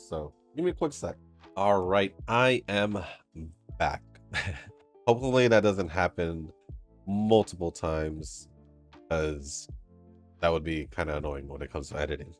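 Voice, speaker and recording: Man, very low voice, congested, rambling casual gameplay chat, faint music behind. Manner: rambling, chatty, laughs often. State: stuffy nose, congested. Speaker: gaming channel host. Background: soft game music.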